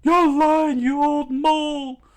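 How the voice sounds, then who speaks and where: A man voicing a scared but stubborn young male pirate who screams his lines in a strained, high yell. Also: level tone, slow. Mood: defiant shouting.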